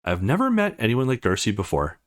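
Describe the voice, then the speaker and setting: A man voicing a laid-back, soft-hearted young man with a casual, dry manner in a visual novel read-through. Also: lowish voice, huge pitch swings, a little fast. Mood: earnest.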